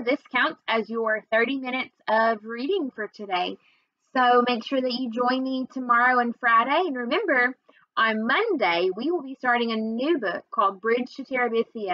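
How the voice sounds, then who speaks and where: A woman's voice: on the high side, dry recording. A young adult woman speaking as a teacher reading aloud and talking to students. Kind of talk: storybook read-aloud and chat to students. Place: small indoor room at home. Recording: slightly dull webcam recording.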